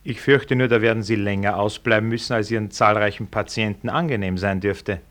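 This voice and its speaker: An actor playing a shrewd, cynical senior ministry official in a 1960s German radio drama, speaking with dry, knowing irony. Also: male voice, on the low side, steady tone, fairly quick, fairly bright tone, natural voice. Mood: wry, teasing.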